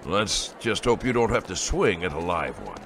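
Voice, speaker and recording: A man's voice: low-pitched, sing-song, moderate pace, gravelly, very noisy, full character voice. An actor playing a middle-aged soldier and father in an audiobook drama, blunt and commanding but loving. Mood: stern. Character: gruff older soldier father, stern but loving husband.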